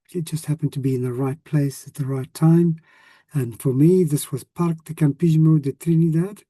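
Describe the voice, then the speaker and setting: A man in his sixties with a South African accent, a retired language teacher talking casually to former students, often pausing with ums and restarting sentences. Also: a little high, dark tone, no room sound. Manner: hesitant, many ums and restarts.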